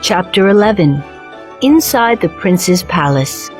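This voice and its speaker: A woman storyteller giving a measured, scripted narration of a children's story. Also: lowish voice, expressive. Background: soft music.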